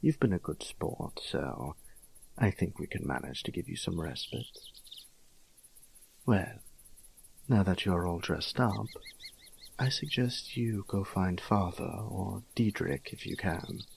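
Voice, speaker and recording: A man's voice: low-pitched, expressive, takes it slowly, creaky, pauses often, a little hiss or hum, exaggerated voice. A man playing a dapper, fussy, teasing young nobleman in an audio drama, speaking in an airy, flowery, mannered way. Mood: teasing, courteous.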